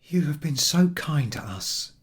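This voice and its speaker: A man voicing a poor, sad but grateful young mother in a storybook, softly and plaintively. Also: on the high side, lively intonation, a little slow, slightly rough, voice pushed up, full character voice. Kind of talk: storybook character acting.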